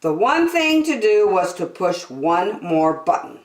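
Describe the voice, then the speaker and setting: A woman hosting story time, reading a children's book aloud in an expressive, deliberate, sing-song way. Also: mid-range voice. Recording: echoey room.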